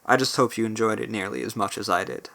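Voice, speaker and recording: A young-sounding independent rapper, a man with a slightly gravelly strained voice, talking earnestly and rambling with restarts on a cheap home mic. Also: on the low side, fairly even intonation, fast talker, bright, forceful tone. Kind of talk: spoken outro over a beat. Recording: spoken over clear background music. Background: guitar music bed.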